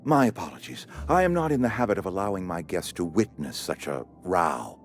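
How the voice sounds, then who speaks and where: An actor playing a pompous, courteous company administrator in a video game, speaking in a formal, wordy, officious way. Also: male voice, deep voice, very animated, brisk, faint music behind. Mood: apologetic, officious. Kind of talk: video game character acting.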